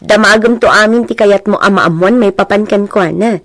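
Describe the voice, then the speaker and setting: An actress with a Filipino Ilocano accent playing a teasing, playful young friend in a radio drama. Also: female voice, medium pitch, fairly quick, dry recording, clean studio sound, close to an everyday voice. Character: teasing, giggly young woman, boarding-house friend.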